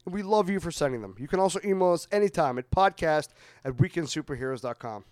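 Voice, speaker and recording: A man's voice: a little high, expressive, fast talker, a little mellow, no echo. A man hosting a podcast, giving an upbeat, chatty outro that urges listeners to subscribe and review. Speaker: podcast host.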